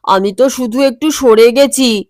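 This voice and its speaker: A woman voicing a mischievous, unrepentant teenage boy in a comic audio story, deadpan and nonchalant. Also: on the high side, measured pace, no echo, clean studio sound, close to an everyday voice. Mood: unbothered, self-justifying.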